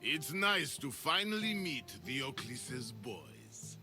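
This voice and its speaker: Smug crime boss with swooping pitch. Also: male voice, big pitch swings, slow pace, music in the background. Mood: smug taunting. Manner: mocking, taunting, theatrical. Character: smug, menacing male smuggling crime boss. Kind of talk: dramatic video game villain acting.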